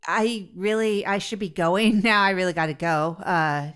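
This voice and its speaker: A woman's voice: medium pitch, moderate pace, fairly bright tone, clean tone, natural voice. A woman playing an awkward radio advice host, chatting in a rambling, hesitant, conversational way in a comedy audio show. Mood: awkward, impatient.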